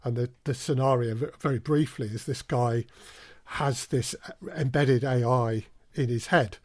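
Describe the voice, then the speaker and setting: Male, medium pitch, conversational interview guest, very dry recording. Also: fairly even intonation, measured pace, darkish tone, no room sound. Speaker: podcast guest, audio drama producer. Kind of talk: conversational interview answers, anecdotes.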